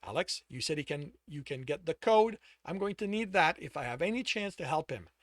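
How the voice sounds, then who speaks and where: A man's voice: on the high side, animated, brisk, dry recording. A man putting on a blunt, exasperated woman scientist. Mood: brusque.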